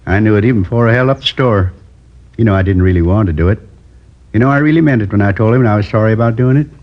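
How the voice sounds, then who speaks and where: Guy doing a lonely old man in his 70s rambling sadly through a confession, lowish voice, muffled old radio sound. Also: natural voice. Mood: wistful. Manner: slow, rambling, wistful confession. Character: lonely old male drifter turned robber. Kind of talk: 1950s radio drama acting. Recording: dull old radio broadcast.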